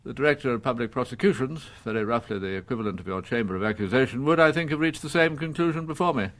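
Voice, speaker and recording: A proud old English judge played by a male actor in a radio play. He is coldly courteous and disdainful, setting out each phrase in formal, measured legal language, at a middling pitch. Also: animated, a bit bright, lightly acted. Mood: coolly judicial. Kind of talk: radio drama acting.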